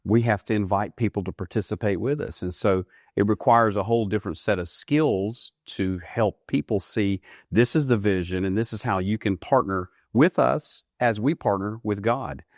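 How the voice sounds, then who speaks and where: A man in his fifties from the United States talks earnestly as an interview guest. His voice sits low, and he often rises in pitch to stress a point. The recording is dry and close, with no room sound. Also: moderate pace, hardly any pauses, dry recording. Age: 50s. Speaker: guest, ministry leader. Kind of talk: interview answers. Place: studio.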